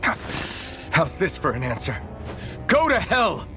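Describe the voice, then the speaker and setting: An actor playing an earnest, defiant young slave in a video game, blunt and impulsive. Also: male voice, a little high, wildly swooping pitch, slow, heavily acted. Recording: loud background music over voice.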